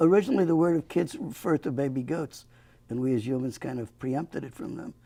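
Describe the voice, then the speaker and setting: Gravelly older man hosting a talk show. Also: medium pitch, expressive, a little fast, warm tone. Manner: folksy, joking asides. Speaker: host. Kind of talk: local access TV talk show.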